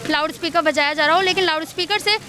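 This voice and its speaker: Female street reporter, very high bright voice, faint traffic behind. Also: quick pace. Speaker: news reporter doing street interviews. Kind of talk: on-street interview questioning. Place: outdoors on a city street. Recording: outdoor street with traffic noise. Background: faint street and vehicle noise.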